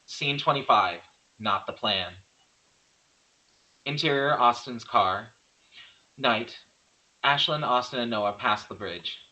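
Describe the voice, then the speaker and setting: A man's voice: medium pitch, slow pace, pauses often, sharp tone, rough recording. A man reading out screenplay stage directions as a narrator.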